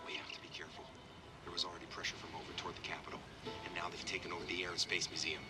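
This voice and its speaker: An actor playing a worried, blunt survivor leader in a game audio log, arguing with urgency. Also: male voice, deep voice, completely flat delivery, very fast, recorded from a distance, over loud music, close to an everyday voice. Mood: urgent warning.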